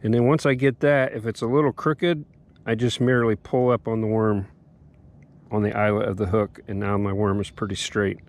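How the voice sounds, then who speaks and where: A man's voice: fairly low pitch, moderate pace. Man talking fishing from a small boat. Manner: relaxed, explains step by step, excited bursts at catches. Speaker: fishing channel host. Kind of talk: casual fishing commentary and how-to. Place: small boat on an open lake outdoors. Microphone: head-mounted action camera.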